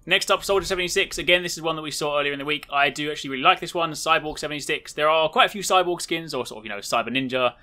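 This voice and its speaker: Male gaming video host, very bright forceful tone, casual commentary. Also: a little high, very fast, few pauses. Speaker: video host showcasing game cosmetics.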